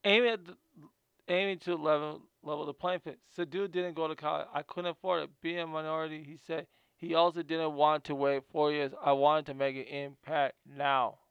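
A man's voice: on the high side, little pitch movement, moderate pace, smooth, dry recording, muffled recording. A man hosting a casual video, reading from notes about a guest and often stumbling and repeating words.

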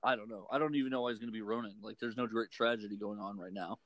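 A man from Texas in casual chat as a podcast co-host, joking and quick to correct the other speaker. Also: lowish voice, fairly even intonation, fairly bright tone, no room sound.

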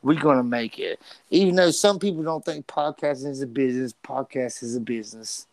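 Man, stutter, casual podcast co-host, animated and profane, repeats words, dry close sound. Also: mid-range voice, fairly quick, gravelly, dry recording.

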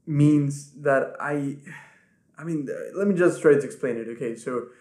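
A man in his twenties from Ecuador, a native Spanish speaker, hosting his podcast and talking earnestly without a script, softly and with many restarts. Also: on the high side, measured pace. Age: 20s. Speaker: podcast host. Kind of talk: unscripted personal talk to camera. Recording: echoey room.

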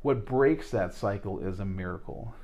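A man in his forties talks as an interview guest. He is earnest and often halting, restarting his sentences and filling pauses as he works toward his point. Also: on the low side, a little mellow. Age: 40s. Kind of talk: casual interview talk.

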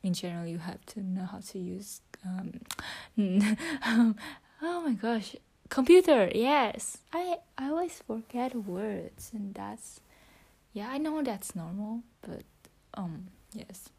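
A teenage woman, an English speaker, talking to her phone in a casual vlog, hesitant, often searching for words, correcting herself and dropping into a soft half-whisper. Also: medium pitch, takes it slowly, husky. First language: Vietnamese. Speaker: student vlogger. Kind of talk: casual self-talk vlog practicing English.

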